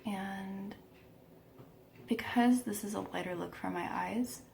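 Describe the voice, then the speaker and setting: A woman giving a casual makeup tutorial, chatty and self-deprecating, a bit croaky today, filming herself at home. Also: medium pitch, slow pace, darkish tone, a little hiss or hum. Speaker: beauty vlogger.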